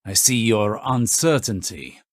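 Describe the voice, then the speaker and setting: An actor playing a stern, shrewd veteran military spymaster in a game, speaking in a measured, commanding, cryptic way. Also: male voice, fairly low pitch, animated, no room sound, studio quality. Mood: cool, probing. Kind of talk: scripted game voice acting.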